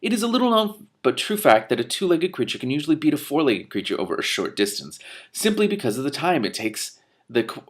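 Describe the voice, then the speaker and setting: A man's voice: fairly high pitch, brisk. A man reading a fantasy story aloud expressively, stumbling and rereading at times, with off-the-cuff asides. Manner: animated in dialogue, stumbles and rereads. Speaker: reader giving commentary on a book.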